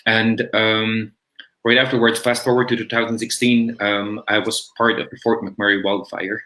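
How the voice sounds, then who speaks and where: A man's voice: lowish voice, little pitch movement, normal speed, bright, forceful tone, rough recording. A man, telling his own story reflectively and conversationally as an interview guest. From Philippines. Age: 30s. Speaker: interview guest, business coach. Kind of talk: personal storytelling in a livestream interview.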